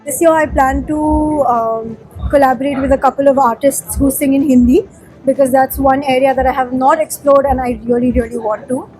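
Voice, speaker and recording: A woman's voice: high-pitched, steady tone, moderate pace, darkish tone, soft background music, poor audio. A woman from Sikkim whose first language is Nepali, a musician answering interview questions in a chatty, candid way with plenty of fillers. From Sikkim, India.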